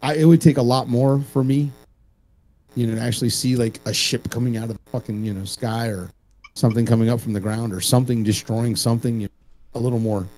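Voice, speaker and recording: A man co-hosting a casual show, joking and swearing freely in an animated, streetwise way. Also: medium pitch, fairly quick, low-quality recording. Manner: animated, profane, streetwise ribbing. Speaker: co-host.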